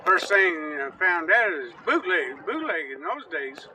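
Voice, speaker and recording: A man's voice: high voice, unhurried, a little hiss or hum. A man telling rambling, folksy stories in casual chat outdoors on a riverbank, picked up from some distance. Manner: rambling, folksy, animated storyteller. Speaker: storytelling uncle, guest.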